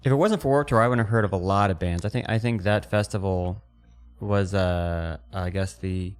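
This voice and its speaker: A man's voice: low voice, moderate pace, clear, resonant tone. A young adult man co-hosting a podcast, telling a story in a casual, slangy way with plenty of swearing. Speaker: podcast co-host. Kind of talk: casual chat, anecdote.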